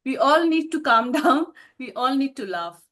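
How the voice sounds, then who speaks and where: A woman's voice: high-pitched, animated, moderate pace, fairly bright tone. A woman, an author answering interview questions in a reflective way and laughing often. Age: 50s.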